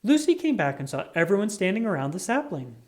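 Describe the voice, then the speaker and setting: A reader giving an expressive, scripted read-aloud of a children's picture book. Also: mid-range voice, quick pace. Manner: animated, expressive reading.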